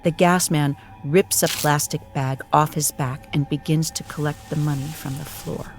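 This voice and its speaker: Narrator, medium pitch, scripted dramatic storytelling, solemn mock-epic tone, prominent music bed. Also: fairly even intonation, normal speed. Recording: music clearly behind the voice.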